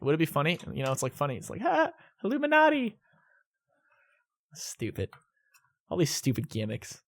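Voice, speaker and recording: A man's voice: fairly high pitch, huge pitch swings, moderate pace, gravelly. A young man answering viewer questions in a casual, rambling vlog at home, often restarting his sentences. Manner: rambling, self-correcting, laid-back. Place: indoors at home.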